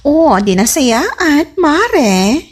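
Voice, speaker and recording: A woman's voice: high-pitched, very animated, extremely slow, heavily acted. A woman playing a coy, scheming village neighbour in an Ilocano comedy radio drama, with an Ilocano Filipino accent and a giggly, gushing delivery. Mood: nosy, friendly.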